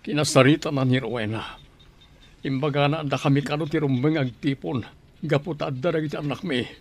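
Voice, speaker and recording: An actor with an Ilocano Filipino accent playing a heartbroken, bitter grown son in a radio drama. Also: male voice, fairly high pitch, brisk.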